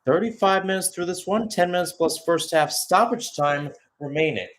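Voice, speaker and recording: A man's voice: high voice, normal speed, a bit bright. A man doing live radio-style soccer commentary as the play-by-play announcer, narrating in short clipped phrases.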